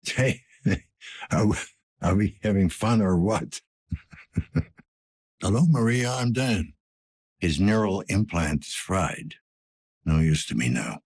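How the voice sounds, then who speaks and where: A man playing a weary, gruff older police detective in a cyberpunk video game, speaking tersely in a low-key, world-weary way. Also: lively intonation, slow, pauses often, a little mellow, no room sound, clean studio sound, heavily acted. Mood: wry. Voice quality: husky, gravelly.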